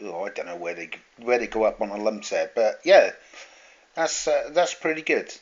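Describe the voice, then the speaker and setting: A man's voice: fairly low pitch, animated, moderate pace. A man in a casual chat as an amateur radio operator, heard over a two-way radio. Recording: dull, lo-fi two-way radio audio.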